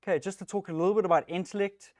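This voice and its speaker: A man's voice: high voice, rapid-fire, no room sound, clean studio sound. A man in his thirties with a South African accent, giving a casual, self-deprecating talk at a developer meetup, with plenty of ums. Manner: relaxed, chatty, self-deprecating, many ums. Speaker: conference speaker, technical lead. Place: meetup room.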